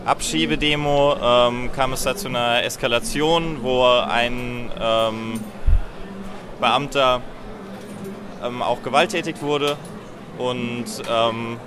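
A man, an activist being interviewed outdoors at a street festival, speaking in a halting way with frequent fillers. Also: mid-range voice, steady tone. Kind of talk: casual spoken interview. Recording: loud crowd around.

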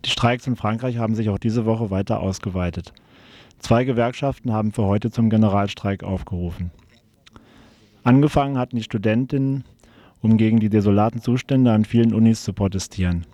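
A man's voice: lowish voice, fairly quick, mellow, no echo. A man reading scripted radio news as a newsreader.

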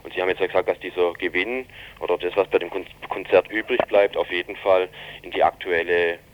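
A man giving a spontaneous account as a radio interviewee, halting and colloquial as he searches for words. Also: low-pitched, level tone. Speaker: interviewee reporting on an incident. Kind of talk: spontaneous spoken eyewitness-style account. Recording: dull, lo-fi radio interview recording.

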